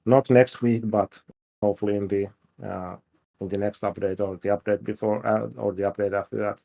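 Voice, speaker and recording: Gravelly low-voiced Finnish man. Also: fairly quick. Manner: relaxed, hesitant, many fillers. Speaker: game developer. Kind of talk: casual livestream Q&A.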